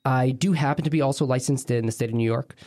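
A man's voice: medium pitch, fast, warm tone, dry recording, clean studio sound. A man from Israel with an American accent, giving conversational interview answers as a guest scholar in a hesitant, wordy, self-interrupting way.

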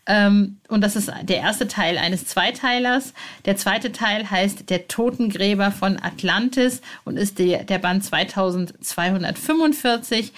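A woman in her forties hosting a fan podcast, informal and excitable, speaking directly to listeners. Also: medium pitch. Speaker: podcast host. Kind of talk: chatty fan podcast presenting.

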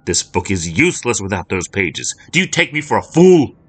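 A man playing a menacing stranger, formal and cold, deep low voice, storybook villain. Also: animated, normal speed, fairly bright tone, slightly rough, full character voice. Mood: furious.

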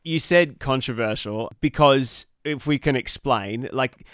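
Chatty male interview host with an even mid voice on a very dry mic. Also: medium pitch, measured pace, dry recording. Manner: upbeat, chatty, laughs easily. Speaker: podcast host. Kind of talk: casual interview chat.

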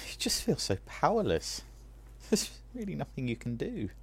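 Husky, creaky, despairing parent. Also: female voice, low-pitched, very animated, normal speed, exaggerated voice. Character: weary, helpless parent of a troubled teenager.

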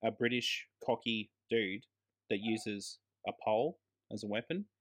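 A man's voice: fairly low pitch, a little slow. A man co-hosting a podcast, chatting casually about films as an exasperated fan, with slang and swearing. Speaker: podcast co-host. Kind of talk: casual film review chat.

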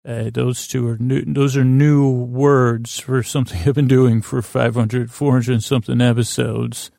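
A man hosting a podcast in a creaky voice, rambling soothingly through a halting, meandering, self-deprecating bedtime monologue. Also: medium pitch, flat delivery, dark tone, dry recording, clean studio sound. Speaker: podcast host.

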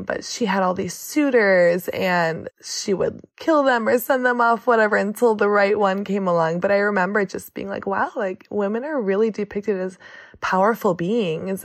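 A woman's voice: medium pitch, gravelly, no room sound, clean studio sound. A young woman from the United States, a podcast guest in casual conversation, thinking aloud with lots of "like" and "you know.".

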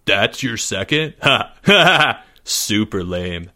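A man's voice: fairly low pitch, very animated, slow pace, pushed and bright, exaggerated voice. A man voicing a mocking know-it-all schoolboy with a taunting manner, from a storybook.

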